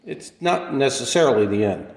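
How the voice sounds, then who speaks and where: Guy acting as a polite soft-spoken old man, radio drama, very up and down pitch, big echoey reverb. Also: animated, moderate pace, vocal fry. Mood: gentle, insistent. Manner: gentle, formal, courteous. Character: polite, soft-spoken older gentleman with mysterious calm. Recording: echoey room with long reverb.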